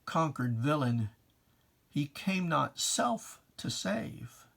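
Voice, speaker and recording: Dramatic poetry reader: unhurried, emphatic and theatrical, with preacherly old-fashioned diction and weighty pauses. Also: medium pitch, sing-song, gravelly, a bit bright. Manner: theatrical, solemn, emphatic declamation with deliberate pauses; archaic preacherly diction, addressing a foe directly. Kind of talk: dramatic poetry reading, scripted and declaimed.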